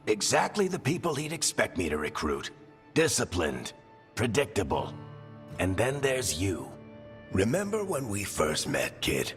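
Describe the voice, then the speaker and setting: An actor playing a seasoned, wry team leader in a video game, talking in a colloquial, fatherly and encouraging way. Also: medium pitch. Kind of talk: dramatic video game dialogue.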